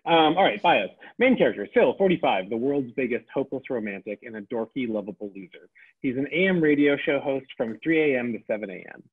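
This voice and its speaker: Husky man reading a script, phone-like sound. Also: medium pitch. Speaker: stage-direction reader. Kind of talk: reading script aloud at table read. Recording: thin, phone-like, band-limited sound.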